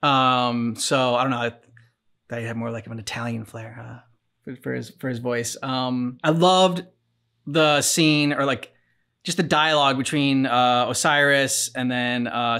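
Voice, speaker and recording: A man in his thirties with a mid-pitched voice. He co-hosts a reaction show and talks casually, feeling his way through loose, rambling thoughts with plenty of hesitation. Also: steady tone, normal speed, pushed and bright, smooth. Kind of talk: casual chat. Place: small furnished room, on a couch.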